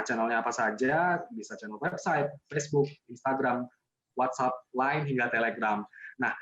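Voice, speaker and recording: A man's voice: medium pitch, lo-fi sound. A man hosting a webinar in a semi-formal, friendly and somewhat hesitant way, addressing the audience as friends. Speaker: webinar host and moderator. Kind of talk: semi-formal online event introduction.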